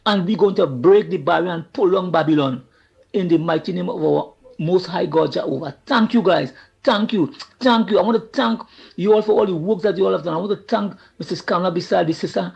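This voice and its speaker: A man with a Trinidadian accent calling in to a show, speaking in an earnest, preacherly way with a gravelly, husky voice. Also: high-pitched. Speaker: caller. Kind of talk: impassioned call-in testimony with religious language. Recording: dull lo-fi call audio.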